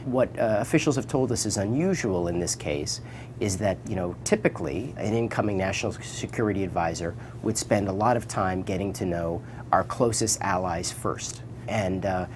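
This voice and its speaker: Low-voiced guy explaining security stuff calmly, lots of uhs, faint hiss behind. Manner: measured, hesitant with fillers. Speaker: newspaper national security expert. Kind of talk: explanatory news analysis.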